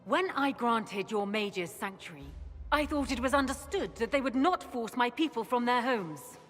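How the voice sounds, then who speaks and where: Stern, high-voiced regal queen. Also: expressive, moderate pace, a bit bright. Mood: cold displeasure. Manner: regal, firm, imperious. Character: stern, commanding queen defending her realm. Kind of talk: video game cutscene acting.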